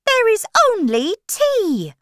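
A woman voicing an excitable, rude male hare in a slow children's story, with exaggerated emphasis. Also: very high voice, no echo, clean studio sound, pitched above the performer's own voice, heavily acted. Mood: cheerful, insistent.

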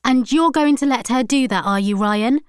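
A female narrator acts an exasperated young granddaughter from a novel. She is plain-spoken and indignant, with a clear, slightly raised voice in a dry recording. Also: on the high side, very animated, unhurried, darkish tone, no room sound, voice pushed up. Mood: angry. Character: tired, exasperated young granddaughter, defensive and protesting.

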